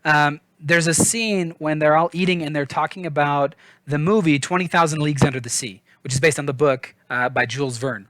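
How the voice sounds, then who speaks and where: A man's voice: on the high side, brisk, a bit bright, no room sound. A man giving a casual book review in an informal, chatty, self-deprecating way.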